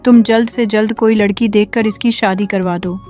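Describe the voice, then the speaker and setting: A woman's voice: mid-range voice, fairly even intonation, normal speed, darkish tone, soft background music, natural voice. A woman playing a concerned family advisor in a moral cartoon story.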